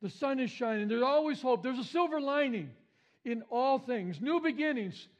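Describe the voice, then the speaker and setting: Man, pastor, big voice, emphatic, repeats phrases, church sermon, high voice. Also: expressive, normal speed. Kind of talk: Easter sermon. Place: church sanctuary.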